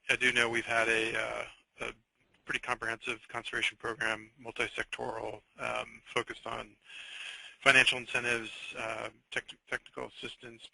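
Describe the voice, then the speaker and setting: A man answering as a webinar panelist, speaking haltingly with repeated words and frequent uhs. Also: mid-range voice, creaky, pushed and bright, dry recording, low-quality recording. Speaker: panelist, utility climate resiliency staffer.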